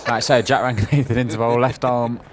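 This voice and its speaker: A man's voice: mid-range voice, flat delivery, fairly quick. An English man calling play-by-play at an indoor cricket match, matter-of-fact with dry humour. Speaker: commentator. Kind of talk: play-by-play commentary. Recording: crowd noise behind.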